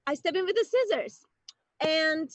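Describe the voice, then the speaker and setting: A woman from Croatia doing a playful, chatty stand-up comedy set, with bursts of excitement. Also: extremely high pitch, moderate pace, dry recording. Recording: dull lo-fi stream audio.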